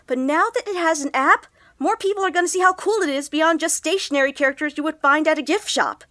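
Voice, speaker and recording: A woman playing an excitable young female vlogger in an audio drama, chatting in a gushing, giggly way. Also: high voice, dry recording. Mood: eager, chatty. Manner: gushing, giggly, swooping into squeals. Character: bubbly, excitable young female vlogger and monster-game fan. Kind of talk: audio drama acting as a chatty livestyle vlog.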